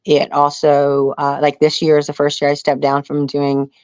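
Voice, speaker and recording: A woman's voice: deep voice, monotone, normal speed, a little mellow, smooth, no room sound, dull audio. A middle-aged American woman talking as an interview guest in a casual, earnest, rambling way with plenty of "you know". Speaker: interview guest, outdoor survival show contestant and angler.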